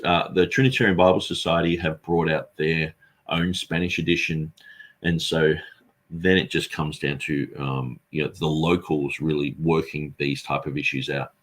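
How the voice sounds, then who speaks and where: Male street preacher in an interview, low husky voice, earnest and rambling, lots of restarts. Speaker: street preacher and Bible translator. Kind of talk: conversational interview answer.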